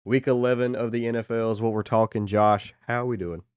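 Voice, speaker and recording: A man hosting a podcast while getting over a cold, sounding hoarse and making self-deprecating jokes in a casual chat. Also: mid-range voice, steady tone, fairly quick, dry recording, clean studio sound. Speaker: podcast host.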